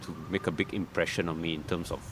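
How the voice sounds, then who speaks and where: Middle-aged man, Malaysian accent, very low voice, halting casual chat, interview guest, clear street noise behind. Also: fairly even intonation. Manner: halting, repeats words and phrases. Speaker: guest, guitarist.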